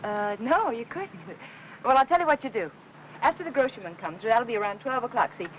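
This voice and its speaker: An actress playing a gentle, placating housewife under strain in an old film noir. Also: female voice, on the high side, expressive, fairly quick, lightly acted. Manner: polite, placating, soft endearments. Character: gentle, strained housewife caring for a sick husband. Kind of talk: 1950s film noir acting. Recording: noisy old film soundtrack.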